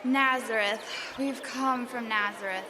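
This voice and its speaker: A woman voices a gentle, pregnant young wife in a live radio play, keeping her answers short and soft. Her voice sits a little high, over a murmuring crowd. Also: steady tone, measured pace, natural voice. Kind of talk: live radio drama acting. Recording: crowd noise behind.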